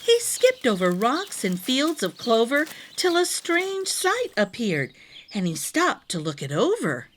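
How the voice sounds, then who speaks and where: A woman reading a rhyming picture book aloud to young children, expressively, with deliberate dramatic phrasing. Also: a little high, wildly swooping pitch, a little hiss or hum. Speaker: storytime reader.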